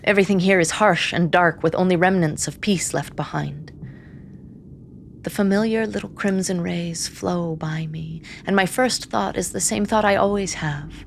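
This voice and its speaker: An actress playing a grim, haunted seer who reads the dead, narrating in the first person with hushed, deliberate intensity. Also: female voice, on the low side, creaky, a little hiss or hum. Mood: somber, wistful. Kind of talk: first-person dramatic narration in audio drama.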